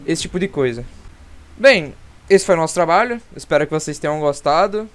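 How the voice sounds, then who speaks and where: A man's voice: fairly high pitch, expressive, a little fast, a bit bright. A Brazilian teenage boy presenting a school project, explaining in a rambling, hesitant way with many restarts. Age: teens. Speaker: student presenter. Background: guitar music.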